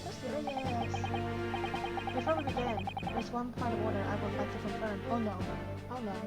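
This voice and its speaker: A woman's voice: on the high side, steady tone, moderate pace. A woman live-streaming a game from her bedroom, reading dialogue aloud and reacting in a dramatic, casual, exclaiming way as she talks to herself. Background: loud game music.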